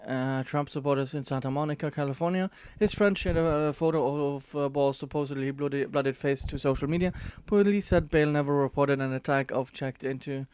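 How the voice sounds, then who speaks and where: A man whose first language is not English reads a news article aloud in a halting, filler-heavy way and breaks off for dry, sarcastic remarks. His voice sits a bit high and sounds smooth and clean. The recording is dry, with no room echo. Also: darkish tone. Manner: halting, many "uh" fillers and restarts. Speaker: commentator. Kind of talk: casual commentary, reading an article aloud.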